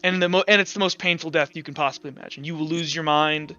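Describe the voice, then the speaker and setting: A man narrating a tabletop game as game master, improvising with halting, backtracking explanations. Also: fairly high pitch, fairly even intonation, bright, forceful tone. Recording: slightly dull audio with music.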